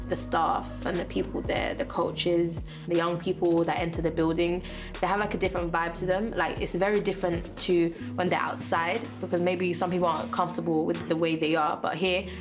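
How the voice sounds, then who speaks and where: A woman's voice: fairly low pitch, level tone, moderate pace, over music. A young woman boxer speaking casually and heartfelt in an interview, with lots of fillers. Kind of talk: documentary interview.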